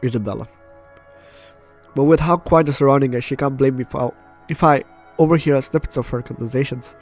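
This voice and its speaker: A man's voice: medium pitch, fairly quick, darkish tone. A man hosting a visual novel let's play, reading narration aloud with goofy, informal asides and stumbles. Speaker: gaming video host. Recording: game music behind the voice.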